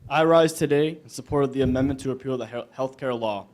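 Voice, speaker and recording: A man's voice: medium pitch, quick pace, a little mellow, close to an everyday voice. A young man playing a stern senator, reading a formal opposition speech in readers theater.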